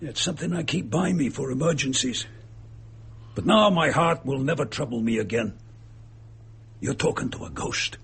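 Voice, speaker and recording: A man acting in a radio drama as a gloating, bitter murderer and impostor, with a husky, gravelly voice and a sneering, darkly amused manner. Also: on the high side, normal speed, pauses often, fairly bright tone, exaggerated voice. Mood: mocking.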